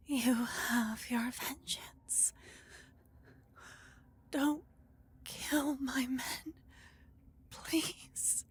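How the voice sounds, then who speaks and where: A woman voicing a defeated female elf general who is dying, pleading in a weak, halting, gasping voice. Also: high-pitched, a bit bright, voice pushed up, heavily acted. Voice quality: breathy, weak. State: gasping, fading.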